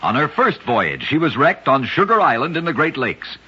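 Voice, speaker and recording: A man narrating strange true facts in a scripted old-time radio style, with a brisk, dramatic storytelling cadence. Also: fairly high pitch, very animated, bright, forceful tone, slightly rough, lo-fi sound.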